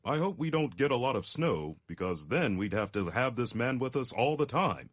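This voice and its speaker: A man playing a plain-spoken, uneasy cowboy in a dramatic story reading, earnest and expressive. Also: mid-range voice, normal speed.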